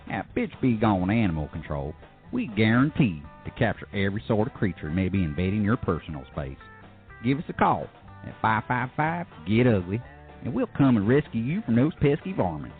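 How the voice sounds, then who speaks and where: A man voicing a slick announcer in a mock commercial, with a smooth sales pitch. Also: sing-song, a little fast. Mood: salesy. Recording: tinny phone-like sound with faint music.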